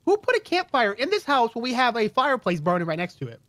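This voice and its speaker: A man bantering as a co-player in roleplay gameplay, goofy and excitable, often repeating his phrases. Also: extremely high pitch, quick pace, no echo. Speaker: co-player in a gaming video.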